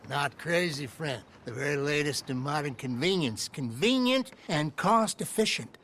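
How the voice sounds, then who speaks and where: A man's voice: fairly high pitch, lively intonation, unhurried, fairly bright tone, a little hiss or hum. An actor playing a jovial, jokey frontier store salesman with sales patter in a western video game, with an American accent. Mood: salesmanlike.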